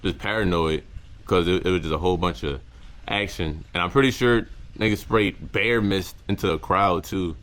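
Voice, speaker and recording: A young man with a very low, deep voice talks to his camera about what he has learned. His speech is casual and slangy, full of halting restarts and repeated words. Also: a bit bright. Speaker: content creator. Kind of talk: reflective casual vlog monologue.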